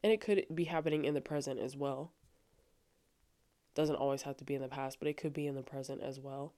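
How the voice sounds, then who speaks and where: Woman, young adult, low voice, dark warm tone, earnest casual podcast talk, many fillers. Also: steady tone, a little fast. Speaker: podcast host. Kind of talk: faith podcast monologue. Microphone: studio microphone.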